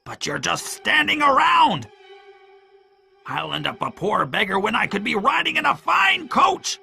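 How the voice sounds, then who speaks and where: A man's voice: a little high, very animated, normal speed, faint music behind, voice pushed up, exaggerated voice. A man voicing a cruel, furious old blind pirate villain who barks and bullies in an audiobook adventure story. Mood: furious, scornful. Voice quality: gravelly, harsh shouting. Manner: bullying, barking exclamations.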